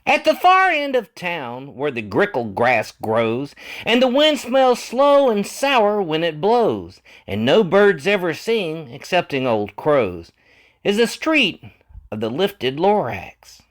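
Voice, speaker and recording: A man reading a children's picture book aloud in an expressive, theatrical, deliberate manner, lingering on words. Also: a little high. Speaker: storybook reader.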